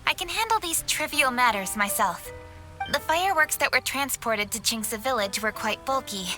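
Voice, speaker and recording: A woman's voice: a little high, lively intonation, moderate pace, sharp tone, faint music behind. An actress playing a stern, duty-bound young woman official in a video game, firm and composed. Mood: determined.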